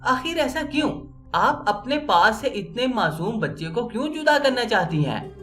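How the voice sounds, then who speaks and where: A woman's voice: on the high side, sing-song, normal speed, fairly bright tone, soft background music, pitched above the performer's own voice. A woman storyteller playing a cautious, sensible young sister who questions and reasons in a dramatic story. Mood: protesting.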